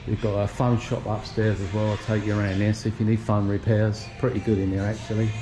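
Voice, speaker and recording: A man's voice: fairly low pitch, quick pace, music in the background. Chatty man on a walking tour. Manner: relaxed, chatty, pointing things out. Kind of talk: casual walking tour narration. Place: outdoor shopping plaza in sunshine. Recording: noisy outdoor street recording.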